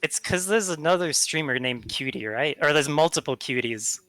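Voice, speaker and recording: A woman's voice: deep voice, animated, normal speed, fairly bright tone, dry recording. A woman from New Zealand with a light New Zealand accent, a guest chatting casually on a livestream in an easygoing, self-deprecating way. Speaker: guest streamer.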